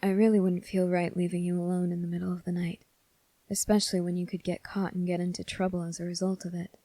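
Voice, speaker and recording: A woman playing a kind, earnest young male cadet in an ASMR roleplay, speaking softly and politely to the listener with gentle reassurance. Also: lowish voice, little pitch movement, quick pace, close to an everyday voice.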